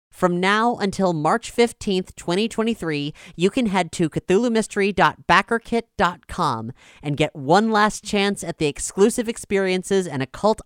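Female podcast host, smooth and slightly low, scripted update read with measured polish, dry studio sound. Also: no room sound.

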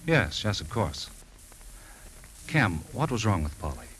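A man's voice: on the low side, big pitch swings, measured pace, fairly bright tone. A man playing a hearty, skeptical husband who is easily exasperated, in a 1950s radio drama. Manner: emphatic. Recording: noisy 1950s radio broadcast recording.

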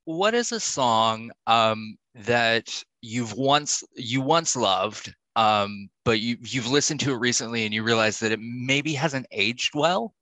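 Bright, crisp-miked man hosting an interview. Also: mid-range voice, animated, slow pace, few pauses, no echo, poor audio. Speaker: podcast host, interviewer. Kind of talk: casual interview questions.

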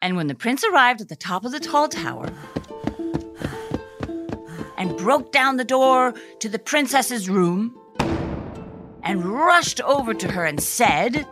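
Animated, slightly exasperated storytelling mom. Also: female voice, on the high side, slow pace, pauses often, over music. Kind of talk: comedic audio drama, storytelling.

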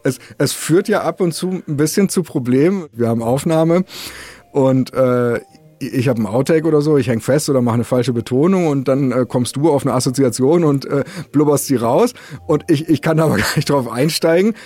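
A man's voice: medium pitch, normal speed, dark tone, no echo. A man hosting a casual podcast, talking in a rambling, self-deprecating way that jumps from thought to thought. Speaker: podcast host.

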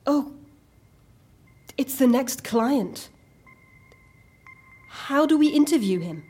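Anxious woman agent in a radio play. High voice, drawn-out, dramatic alarm. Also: lively intonation, dark tone, a little hiss or hum. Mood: panicked. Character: panicky, anxious woman of a secret agency. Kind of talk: audio drama acting.

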